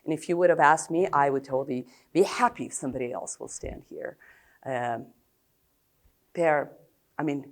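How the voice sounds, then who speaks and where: A woman in her fifties from Serbia with an American-tinged accent, a psychologist giving a blunt, open conference talk with many pauses and fillers. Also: deep voice. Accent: American-tinged English.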